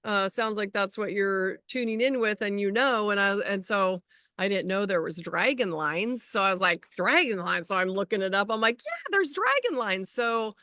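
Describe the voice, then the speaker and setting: A middle-aged woman co-hosting a casual spiritual chat as an energy healer, talking in a rambling, halting way as she searches for words. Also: medium pitch, expressive, pushed and bright, no echo, clean studio sound.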